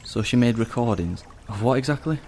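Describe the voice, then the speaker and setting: A young man playing a sceptical but caring friend, low-key and questioning, in audio drama dialogue. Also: expressive, fairly quick, a little mellow, a little hiss or hum, lightly acted. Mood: sceptical, curious.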